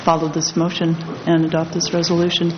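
A woman with a low voice presenting a staff report to a board, semi-formally and with hesitant pauses. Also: monotone. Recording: echoey, muffled, very noisy meeting room.

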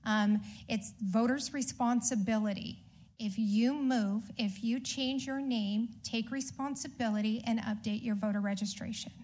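A woman's voice: a little high, steady tone, unhurried, a little mellow. Firm woman explaining at a debate. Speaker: county election official and candidate. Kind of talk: debate answer.

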